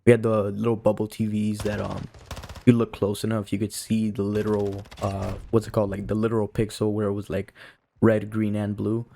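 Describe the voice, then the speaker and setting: A young man in his late teens with a fairly low voice and very even pitch. He talks to his viewers in a casual, informal ramble. His sentences wander and are dotted with "you know" and "like". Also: a little fast, vocal fry. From Cuba. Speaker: video creator.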